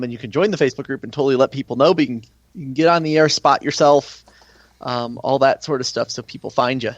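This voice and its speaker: A man's voice: mid-range voice, no room sound, dull audio. A man speaking as a guest in a casual interview, explaining things in a conversational way. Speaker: guest, amateur radio contest organizer.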